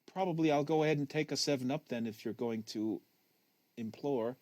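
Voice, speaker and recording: A man's voice: medium pitch, normal speed, a little mellow, dry recording, close to an everyday voice. A man voicing a precocious, wary ten-year-old boy in an audiobook, hesitant and pedantically exact. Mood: puzzled.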